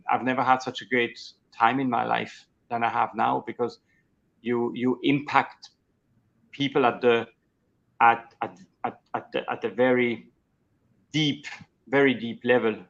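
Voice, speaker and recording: A middle-aged man from Switzerland whose first language is Swiss German, telling his story as an interview guest in a halting way, with many restarts. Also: mid-range voice, level tone, vocal fry, a bit bright, lo-fi sound. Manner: halting, with frequent restarts and fillers. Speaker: guest, coach. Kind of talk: conversational interview.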